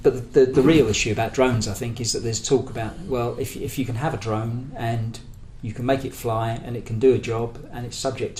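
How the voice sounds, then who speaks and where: A man's voice: medium pitch, a little mellow. Echoey talk by a hesitant British man. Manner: hesitant, searching for words, discursive. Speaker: trade union speaker. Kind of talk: conference talk, semi-prepared political argument. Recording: echoey room with faint background noise.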